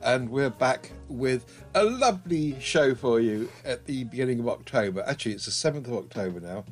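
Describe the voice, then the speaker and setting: Brief: middle-aged male host, mid pitch, relaxed jokey chat. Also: a little fast, faint music behind. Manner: chatty, jokey, with filler ums. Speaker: podcast co-host. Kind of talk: casual chat.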